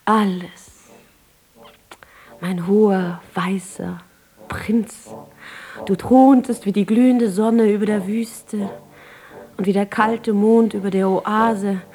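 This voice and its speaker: An actress playing a frail, yearning young woman, once a slave, in a poetic, theatrical and often breathy radio drama style. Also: mid-range voice, fairly even intonation, slow, long pauses, dark tone, lightly acted. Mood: tender, wistful. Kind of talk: literary German radio drama acting.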